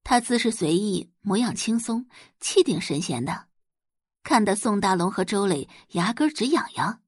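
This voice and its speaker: A woman's voice: mid-range voice, animated, normal speed, slightly rough, no echo, studio quality. A woman narrator reading a romance novel expressively for an audio drama, as scripted storytelling.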